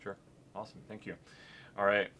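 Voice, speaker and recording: Deep, flat-voiced man hosting an interview. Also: a little fast, slight background noise. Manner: relaxed, many fillers. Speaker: athletics media host. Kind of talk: casual sit-down interview.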